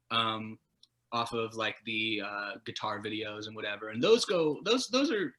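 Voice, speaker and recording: A man speaking as a guitarist interview guest, telling stories in a casual, rambling, animated and self-deprecating way. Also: medium pitch. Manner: animated, self-deprecating, casual swearing, laughs while talking.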